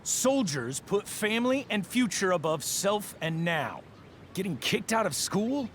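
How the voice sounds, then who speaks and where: A man's voice: high voice, big pitch swings, a little slow, a bit bright, slight background noise, exaggerated voice. A man playing a confrontational, authoritarian middle-aged ex-soldier and father figure in a video game cutscene. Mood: angry, commanding. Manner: commanding, confrontational.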